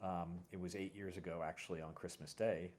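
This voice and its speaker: A middle-aged man with a fairly low voice talks casually as a host. He tells personal stories reflectively, feeling his way through them in a halting, conversational style. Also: fairly even intonation, a little mellow.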